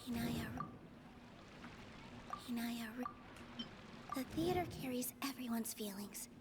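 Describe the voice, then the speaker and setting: A woman's voice: fairly high pitch, expressive, slow, heavy background noise. An actress playing an earnest, sweet young dancer woman in an anime-style video game. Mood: pensive, murmuring.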